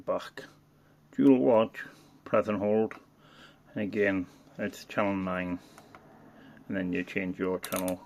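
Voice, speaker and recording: A man with a fairly low voice and a lot of gravelly fry talks through a hands-on demonstration. He is unscripted and casual, a hobbyist reviewer who halts and thinks aloud as he works through each feature. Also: flat delivery, takes it slowly, long pauses, a little mellow.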